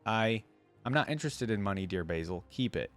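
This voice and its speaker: A man's voice: lowish voice, expressive, fairly quick, fairly bright tone, soft background music. Man reading as a cold commanding female crime boss. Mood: cold, controlling. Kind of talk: storybook reading.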